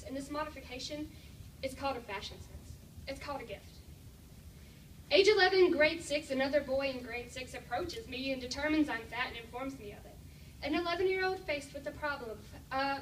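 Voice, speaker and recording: A teenage girl performs spoken-word poetry with a high voice and a deliberate, theatrical delivery. She is heard from some distance in a very echoey room, with faint noise underneath. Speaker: slam poet.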